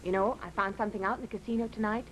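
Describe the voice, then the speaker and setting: A slightly noisy vintage radio recording of a woman acting a devoted wife. Her voice is mid-pitched, with a tender, coaxing and intimate delivery in old radio drama style. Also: steady tone, racing, fairly bright tone, natural voice. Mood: playful, intimate. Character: devoted, romantic wife of a gambler.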